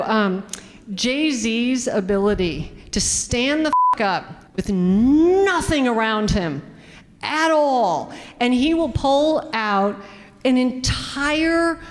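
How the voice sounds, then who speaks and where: An older woman from upstate New York, answering fan questions at a live event, talking in an animated, profane way that cuts itself off mid-word. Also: fairly high pitch, slow. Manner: animated, profane, self-interrupting. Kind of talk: live Q&A with audience. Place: event hall before an audience. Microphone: handheld microphone. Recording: reverberant hall with faint noise.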